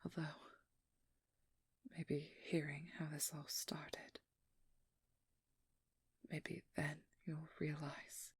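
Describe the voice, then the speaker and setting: A woman playing a guarded, protective young vampire hunter in a hushed, breathy ASMR roleplay, speaking slowly with halting pauses close to the mic. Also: low voice, level tone, dry recording, exaggerated voice. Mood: somber, confiding. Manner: slow, halting, intimate delivery with pauses. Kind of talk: whispered ASMR roleplay, dramatic monologue. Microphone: close microphone, dry.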